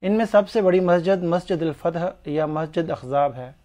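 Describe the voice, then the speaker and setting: Bright-voiced man narrating religious history. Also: a little high, moderate pace. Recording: dull, muffled lo-fi voice-over.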